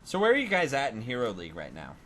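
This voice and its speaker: A man's voice: mid-range voice, wildly swooping pitch, fast, music in the background. A man hosting a gaming podcast in casual, conversational chat. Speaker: podcast host. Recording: clearly noisy amateur podcast recording.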